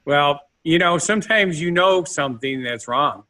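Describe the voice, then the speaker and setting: A male podcast co-host chatting casually, playful and a bit rambling. His voice sits fairly high and bright. His pitch swings widely as he talks. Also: lively intonation, moderate pace. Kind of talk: casual chat.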